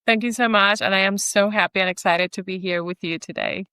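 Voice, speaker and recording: A woman with a Puerto Rican accent, Spanish her first language, talks earnestly and at length as a podcast guest. Her voice is smooth and resonant, recorded dry and close. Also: medium pitch, fairly even intonation, fairly quick, pushed and bright, no echo. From Puerto Rico. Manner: earnest, storytelling. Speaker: guest chiropractor. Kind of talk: podcast interview.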